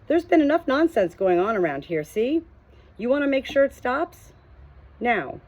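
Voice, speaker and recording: A woman's voice: on the high side, very animated, moderate pace, darkish tone, voice pushed up. A pipe-smoking shepherd of few words , acted out by a woman reading an audiobook. He is curt, unruffled and used to command. The recording is a little muffled, with music underneath. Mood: firm warning. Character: self-assured, taciturn male shepherd with a pipe. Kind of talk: audiobook character dialogue.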